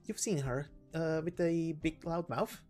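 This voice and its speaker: A woman voicing a chatty, warm-hearted young female knight in a game story, breezy and informal. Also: deep voice, measured pace, soft background music. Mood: earnest, inviting. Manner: breezy, informal, hesitating as she talks.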